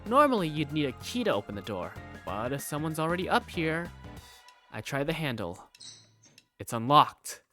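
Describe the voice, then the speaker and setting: A man voicing a curious, self-doubting high school student who narrates a visual novel fandub in the first person. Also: very animated, moderate pace, pushed and bright, faint music behind. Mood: curious, uneasy.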